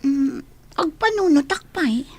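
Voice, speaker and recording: A woman's voice: high voice, animated, slow. A woman acting in a radio drama as a hesitant young woman who defers to her boss. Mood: surprised, wary.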